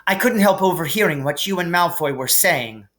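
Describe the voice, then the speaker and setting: A man's voice: a little high, animated, normal speed, a bit bright, pitched above the performer's own voice. A man voicing a bossy, disapproving schoolgirl in a prim, scolding storybook read-aloud.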